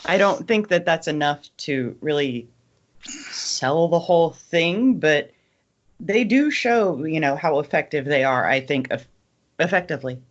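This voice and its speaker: A female co-host makes her case in a relaxed group discussion. She is blunt and informal, leaning on words for emphasis. Her audio is slightly dull and lo-fi. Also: sits low, measured pace. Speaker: podcast co-host. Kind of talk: casual panel discussion.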